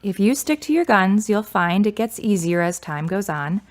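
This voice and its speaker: An actress playing a kind, reserved grieving daughter in a radio drama, speaking in a measured, earnest way. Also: female voice, medium pitch, expressive, lightly acted. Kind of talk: serial radio drama acting.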